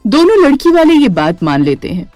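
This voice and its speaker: A woman's voice: fairly high pitch, big pitch swings, a little fast, darkish tone, no room sound. A woman narrating a scripted moral story. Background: music.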